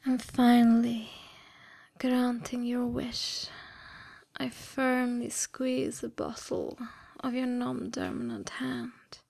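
A woman in a scripted hypnosis ASMR style, mostly whispering breathily in a hushed, deliberate, soothing yet commanding manner. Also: a little high, gravelly, dry recording, studio quality. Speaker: erotic hypnosis audio performer.

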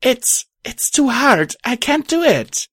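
Man putting on a high, straining young pony heroine. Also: big pitch swings, unhurried, no room sound, studio quality, heavily acted. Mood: straining, despairing. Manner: urgent, straining, emphatic. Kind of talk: audiobook character voice.

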